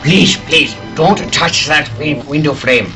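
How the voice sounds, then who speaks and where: Fussy, higher-voiced radio scientist, acted by a man. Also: slow, music in the background. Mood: fussy, cautious. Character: fussy, cautious male scientist guarding his laboratory. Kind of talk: 1940s radio serial acting, live table read.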